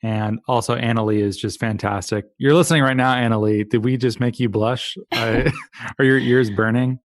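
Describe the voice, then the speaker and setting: A man's voice: mid-range voice, fairly even intonation, normal speed, no echo. Enthusiastic man hosting, dry close sound. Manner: enthusiastic, joking, long searching questions. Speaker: podcast host. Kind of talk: conversational interview.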